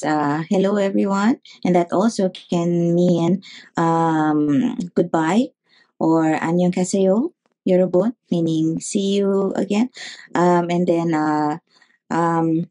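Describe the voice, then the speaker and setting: Low-voiced woman giving measured advice. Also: mellow. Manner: measured, with many fillers and pauses. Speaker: neurologist guest. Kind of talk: advice in an interview.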